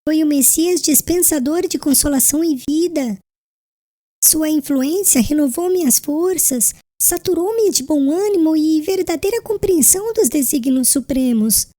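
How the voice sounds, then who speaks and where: A woman playing a gentle, devout young fiancée on her deathbed in an audiobook drama, tender and earnest with formal diction. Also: high voice, lively intonation, measured pace. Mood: serene, devout.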